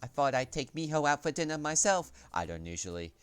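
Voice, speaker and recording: A man voicing a chatty adult mother with an attempted New Zealand accent, pitching his voice higher. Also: very animated, a little fast, clear, resonant tone, soft background music.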